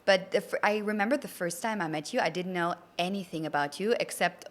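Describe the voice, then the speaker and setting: A woman's voice: on the low side, a little fast. A woman hosting a podcast, chatting casually and enthusiastically with an effusive, complimentary manner. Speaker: podcast host. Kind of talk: casual enthusiastic interview chat.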